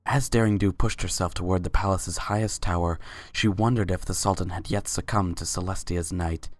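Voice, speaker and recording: Woman narrating a story, really deep voice, barely moves up or down. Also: steady tone, fairly quick, darkish tone, dry recording. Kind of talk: scripted story narration, dramatic reading.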